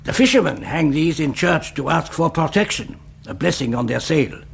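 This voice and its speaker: An actor playing an elderly, courteous Norwegian translator in a radio drama, with a raspy voice, a Norwegian accent and halting formal English. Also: male voice, on the high side, very animated, exaggerated voice. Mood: eager. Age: older. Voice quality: raspy, gravelly.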